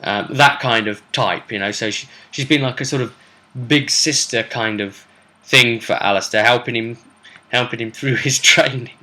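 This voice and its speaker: A British man hosting a casual, rambling recap of his tabletop role-play sessions in a chatty, animated manner. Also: medium pitch. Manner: animated, chatty, acts out brief quotes. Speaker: host and game master recapping sessions.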